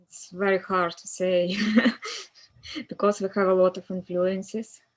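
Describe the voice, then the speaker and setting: A woman from Ukraine, a musician guest speaking with phrasing and pauses to search for words. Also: fairly low pitch, flat delivery. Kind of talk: casual interview chat.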